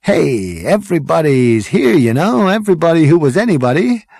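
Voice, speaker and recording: A man's voice: fairly high pitch, big pitch swings, normal speed, vocal fry, darkish tone, no echo, voice pushed up, exaggerated voice. A man voicing a brash, manic, boastful male alien hipster in a comic audiobook, slangy and exuberant. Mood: excited, awed.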